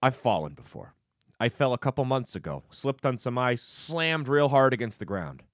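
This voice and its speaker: Sarcastic young man with lively pitch. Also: moderate pace, no echo, studio quality. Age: 20s. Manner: sarcastic, mocking. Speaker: political commentary host. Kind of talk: opinionated political commentary.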